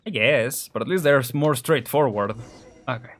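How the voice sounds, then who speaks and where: A woman's voice: extremely low pitch, normal speed. A young woman doing casual live game commentary, with wry, sarcastic asides over game music. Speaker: game streamer.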